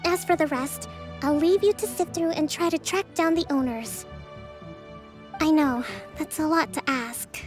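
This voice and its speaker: A young priestess leader from a game, high voice, calm n formal, dutiful, soft music behind. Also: female voice. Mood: considerate, composed. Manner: formal, measured, diplomatic. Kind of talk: scripted video game dialogue.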